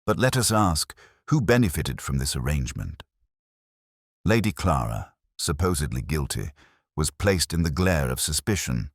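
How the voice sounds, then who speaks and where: Man voicing very deep, theatrical Victorian detective. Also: sing-song, moderate pace, no room sound, clean studio sound, full character voice. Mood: composed, lecturing. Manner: theatrical, precise, declamatory. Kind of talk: audiobook detective story dialogue.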